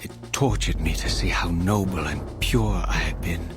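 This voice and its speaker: An actor playing a vengeful, bitter undead wraith who was once noble, speaking in a solemn, formal, theatrical way for a video game. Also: male voice, low-pitched, normal speed, close to an everyday voice. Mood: bitter, anguished. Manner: solemn, formal, grandiloquent delivery. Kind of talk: theatrical scripted game narration and dialogue. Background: dramatic game music.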